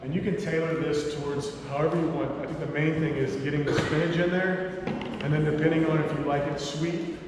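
A man's voice: on the high side, little pitch movement, fairly quick, a crowd in the background. A young man giving an informal cooking demonstration as the chef and instructor of a class. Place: large skylit hall with small seated audience. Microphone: far camera. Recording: echoey hall, distant mic, noisy.

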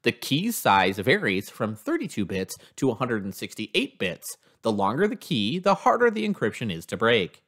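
Man narrating a lesson, very animated pitch. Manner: explanatory, reading from a script. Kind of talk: scripted instructional narration.

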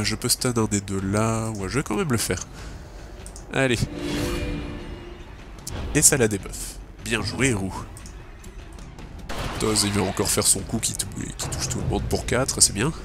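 A man narrates his game run in a relaxed, thinking-aloud way, full of fillers and stray English gaming terms. His voice is low and gravelly, a little stuffed up from a cold. Game music plays clearly underneath. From French-speaking. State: has a cold. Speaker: let's play gamer. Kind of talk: casual gameplay commentary.

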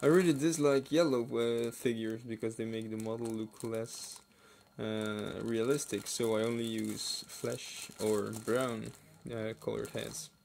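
A man with a creaky, fry-laden voice talks slowly through a casual hobby unboxing. He is hesitant and offhand, trailing off into asides. Plastic crinkles and clicks over faint background noise. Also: fairly low pitch, flat delivery, darkish tone. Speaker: hobby builder and video host. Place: indoors at a wooden table. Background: clicks and crinkling plastic bags.

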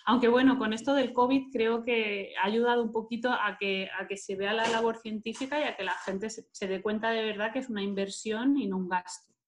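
A woman's voice: a little high, fairly even intonation, brisk, slight background noise. A woman in her thirties from Madrid, with a Spain Spanish accent, answering interview questions conversationally on a video call. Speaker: interviewee, market manager and scientist. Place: indoors, plain-walled room, video call. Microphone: earphone headset on video call.

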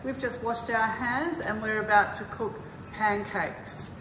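A woman's voice: on the high side, steady tone, measured pace, noisy background. Older woman teaching cooking. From Australia. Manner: cheerful, explaining step by step. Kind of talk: cooking demonstration. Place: large school kitchen, indoors. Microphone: camera at a distance. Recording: echoey kitchen from far off.

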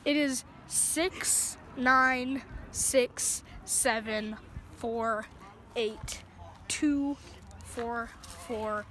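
A woman's voice: a little high, fairly even intonation, very slow, a bit bright. A woman prank-calling a scammer, playing dumb and then mocking him. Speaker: prank caller baiting a scammer. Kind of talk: prank phone call. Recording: noisy phone call.

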